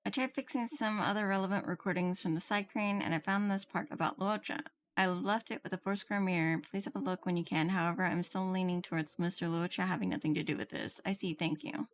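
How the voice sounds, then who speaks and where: A woman's voice: lowish voice, steady tone, fairly quick. A woman streaming a game, commenting and reading dialogue aloud.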